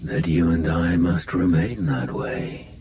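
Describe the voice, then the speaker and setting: An actor playing a brooding, menacing warlord in a game cutscene, gravelly and slow, speaking in grandiose, ominous phrases. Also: male voice, deep voice, background noise. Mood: brooding menace. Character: brooding dark warlord, menacing male villain musing on failure. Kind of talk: dramatic video game cutscene acting.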